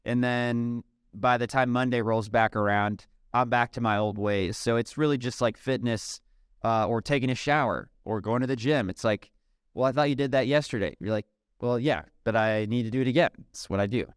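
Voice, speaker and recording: A man, chatting casually as a podcast guest, relaxed and peppered with "um" and "dude". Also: medium pitch, moderate pace, clear, resonant tone, dry recording, studio quality. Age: 30s. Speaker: podcast guest, software company CEO. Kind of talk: casual interview conversation.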